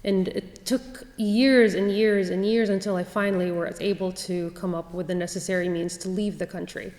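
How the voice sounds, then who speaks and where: A woman's voice: mid-range voice, fairly even intonation, normal speed, a little mellow. Woman on a panel in a reverberant hall. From Saudi Arabia. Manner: hesitant, many fillers and restarts. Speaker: panel speaker. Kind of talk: panel discussion answer. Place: university lecture hall.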